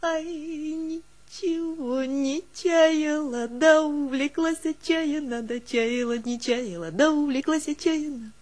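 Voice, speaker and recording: Abandoned village girl, high-pitched, extremely slow, plaintive folk singing, audio play. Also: a little mellow. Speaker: stage actress singing in a play. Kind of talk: slow folk song and rhymed village verse.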